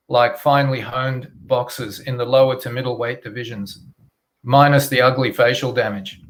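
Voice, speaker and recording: A man's voice: mid-range voice, low-quality recording. A man with a mid-range voice, speaking as a guest author. He moves between informal talk and narrating fiction from the printed page. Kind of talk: casual chat and reading his fiction aloud.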